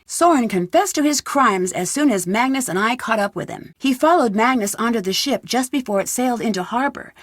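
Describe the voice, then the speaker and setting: A woman's voice: on the high side, no echo, studio quality. A woman playing a young, inquisitive American detective in a video game, speaking in an earnest, conversational way with an American accent. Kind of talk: video game voice acting, narrated letter and dialogue.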